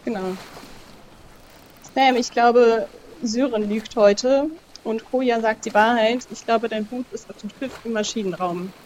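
Female game player: somewhat high voice, deliberate questioning delivery, faint background noise. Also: fairly even intonation, darkish tone. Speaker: player, podcast listener guest. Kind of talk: casual role-play game talk.

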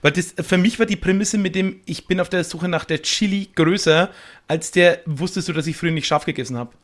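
A man's voice: high voice, brisk, a bit bright. A man chatting casually and colloquially, with plenty of slang, as an improv player on a podcast. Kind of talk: casual chat.

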